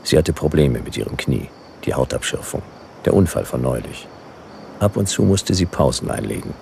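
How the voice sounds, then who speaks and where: An actor playing a world-weary detective, narrating a sci-fi radio play in dry, clipped noir fragments with a gravelly voice. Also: male voice, rumbling low, very animated, normal speed, darkish tone, a little hiss or hum, heavily acted. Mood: brooding, laconic. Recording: music behind the voice.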